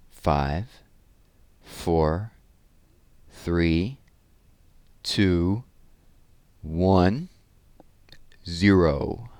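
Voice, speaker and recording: Deep-voiced man reading aloud, very animated. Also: no echo. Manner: animated, deliberate storyteller delivery. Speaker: read-aloud host. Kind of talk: expressive children's picture book read-aloud with informal asides.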